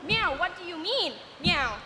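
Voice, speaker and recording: A man's voice: very high voice, animated, measured pace, bright, forceful tone, a little hiss or hum, pitched above the performer's own voice, exaggerated voice. A man voicing a grumpy female house cat who meows before and after each line, in an echoey hall. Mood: sulky. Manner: opens and closes each line with a meow.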